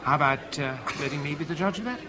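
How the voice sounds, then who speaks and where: A man's voice: a little high, expressive, quick pace, lightly acted. An actor playing a worldly, urbane man genially in command in a 1970s British radio drama, suave and teasing. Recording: busy club crowd behind.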